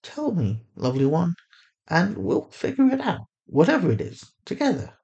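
A man acts out a tender mother of a teenage girl in an audio story. The voice sits well above a man's usual talk, soothing and loving, with a gentle sorrow. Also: sits high, huge pitch swings, normal speed, gravelly, dull audio, pitched above the performer's own voice, full character voice. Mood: tender, protective.

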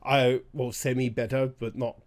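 A man co-hosting a weekly gaming show, a little raspy after being sick, chatting casually with lots of restarts. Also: medium pitch, little pitch movement. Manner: halting, many restarts and fillers. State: slightly raspy from recent illness. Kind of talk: casual chat.

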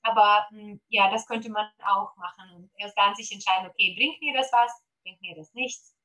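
A woman's voice: medium pitch, fairly even intonation, normal speed, bright, forceful tone, rough recording. A woman from Poland with a Polish accent, speaking German as a guest on an interview podcast about gut health, eager and full of fillers. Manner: eager, many fillers, promotional.

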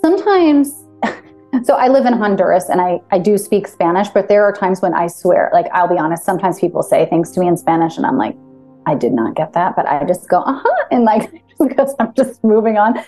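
Woman, podcast host, casual chat, animated, mid pitch. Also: faint music behind. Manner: animated, quick asides and affirmations. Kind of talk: casual interview talk.

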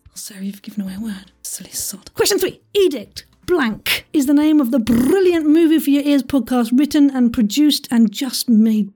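High-pitched, husky woman hosting a playful quiz. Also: warm tone. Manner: theatrical and jokey, with gasps, asides and exclamations. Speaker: quiz host.

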